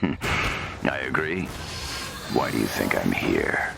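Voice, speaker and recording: An actor playing a smug, greedy arms-dealer villain who drawls mocking taunts in a video game. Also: male voice, a bass voice, lively intonation, slow, vocal fry, music in the background, heavily acted. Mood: mocking, gloating.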